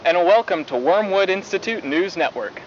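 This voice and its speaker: A young man playing a cheery, faintly menacing high school news anchor in a 1990s horror broadcast, with formal, polished delivery. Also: animated, normal speed, husky. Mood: formal, upbeat. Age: young adult. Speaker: news host. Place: outdoors in a forest at night. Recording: dull lo-fi VHS-style audio, faint noise.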